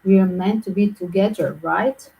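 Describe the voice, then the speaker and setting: A woman from Southern Europe with a mixed Greek and Yugoslav accent, giving a tarot reading in quick, chatty, non-native English. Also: medium pitch, gravelly, highs cut off. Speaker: tarot reader.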